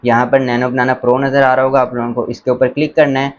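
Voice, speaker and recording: A man's voice: medium pitch, flat delivery. Lo-fi male tutorial presenter. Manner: instructional, enthusiastic, mixes in English words. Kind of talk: casual step-by-step screen tutorial. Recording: dull lo-fi sound, faint background noise.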